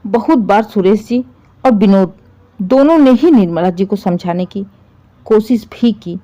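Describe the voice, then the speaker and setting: A woman narrating a scripted family story in an expressive, dramatic and sympathetic way. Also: medium pitch, measured pace, lo-fi sound.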